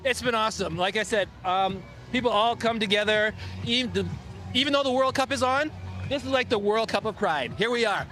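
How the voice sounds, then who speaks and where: Enthusiastic high-voiced man marching. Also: brisk, sharp tone, faint music behind. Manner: enthusiastic, repeats praise. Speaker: parade marcher. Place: outdoors on a parade route.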